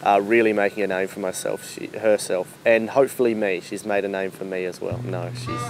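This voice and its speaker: A man talking casually about his own project, halting and self-deprecating. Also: fairly low pitch, fairly even intonation, gravelly, poor audio. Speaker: writer presenting own radio project. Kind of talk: casual promotional talk to camera.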